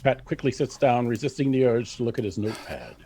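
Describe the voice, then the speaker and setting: A man's voice: medium pitch, a little fast, warm tone, breathy edge. A man narrating and announcing a scripted radio drama.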